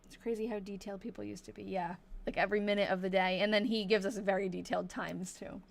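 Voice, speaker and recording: A woman from Massachusetts hosting a live stream, reading an old diary aloud in a casual way, thinking out loud and slowing down over hard words. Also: mid-range voice, flat delivery, gravelly.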